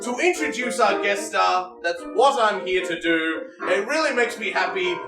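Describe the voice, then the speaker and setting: A man's voice: sits high, expressive, moderate pace. A man hosting a live comedy show on stage with exclamatory, showman-like banter. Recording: distant echoey stage recording, loud music.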